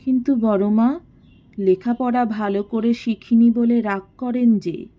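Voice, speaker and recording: A woman voicing a timid but clear-spoken young daughter-in-law in an audio story, polite and earnest. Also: on the high side, fairly even intonation, measured pace, lo-fi sound. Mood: earnest, restrained.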